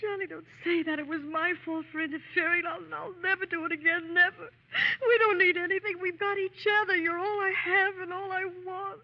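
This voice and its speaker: An actress playing a devoted young wife, crying and pleading in a melodramatic old radio drama. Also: female voice, extremely high pitch, steady tone, a little fast. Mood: tearful pleading. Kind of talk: 1930s-40s melodramatic radio acting. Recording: muffled vintage radio recording.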